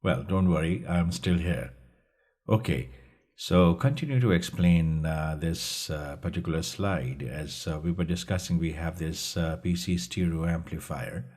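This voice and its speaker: A man with a low-pitched, slightly rough and husky voice gives an instructional walkthrough. He speaks haltingly, going through each step and repeating points as a tutor would. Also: a little mellow. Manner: halting, step-by-step explaining with repetition. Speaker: instructor presenting a DIY electronics project. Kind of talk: explanatory tutorial narration over slides.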